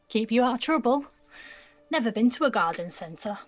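A streetwise nineteen-year-old woman in an audio play, blunt and guarded. Her voice is fairly high and noticeably husky. She talks in clipped, cheeky slang over faint music. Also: expressive, moderate pace. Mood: teasing, guarded. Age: young adult. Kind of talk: naturalistic audio drama dialogue.

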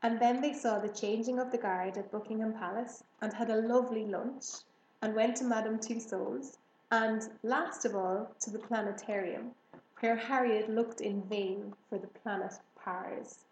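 A woman's voice: fairly high pitch, steady tone, unhurried, darkish tone. A woman reading a children's book aloud as storybook narration. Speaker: storybook reader. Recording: roomy echo, faint background noise.

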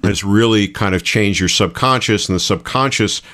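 A man with a low-pitched voice talks as a podcast co-host. He explains things informally, in the manner of an everyday conversation. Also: moderate pace, fairly bright tone.

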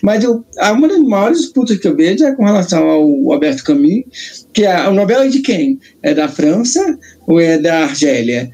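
A Brazilian man with a Brazilian Portuguese accent, explaining his views on authors' nationality in a relaxed, thoughtful conversation. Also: high voice, moderate pace. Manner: thoughtful, explanatory, frequent tag questions. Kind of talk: casual conversation about literature.

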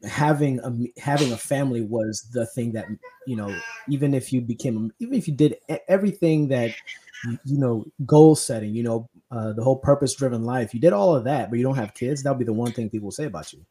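Halting, mellow-voiced man from Kenya. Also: mid-range voice, slight background noise. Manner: hesitant, many restarts and fillers. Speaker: podcast host. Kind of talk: casual conversation.

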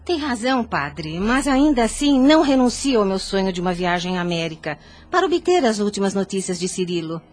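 An actress playing an anxious, devout young widowed mother in a radio drama, earnest and formal, with a Brazilian accent and husky voice. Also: medium pitch, sing-song, a little fast, few pauses. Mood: earnest, grateful.

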